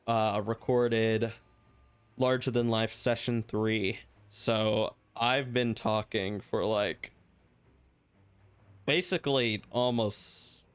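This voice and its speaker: Slow, rambling young man, a bit hoarse. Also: medium pitch, no room sound. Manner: rambling, loose and reflective, many fillers. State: slightly hoarse from hours of talking. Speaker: podcast host. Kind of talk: casual solo ramble.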